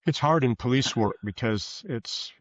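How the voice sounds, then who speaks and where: A man giving a reflective interview as a podcast guest, speaking earnestly and haltingly, often rephrasing as he goes. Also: mid-range voice. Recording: muffled, roomy, echoey sound.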